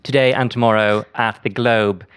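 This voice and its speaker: A man's voice: on the low side, fairly even intonation, moderate pace, a bit bright, clear, resonant tone. A man chatting casually as a comedian guest in a radio interview, with playful, dry humour. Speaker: comedian guest promoting a show.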